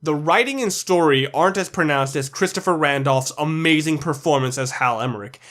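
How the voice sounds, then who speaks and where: Guy w a slightly high voice doing a scripted countdown review, blunt, opinionated, swears a bit. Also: brisk, fairly bright tone. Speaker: video reviewer narrating a countdown list. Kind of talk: scripted video commentary with profanity.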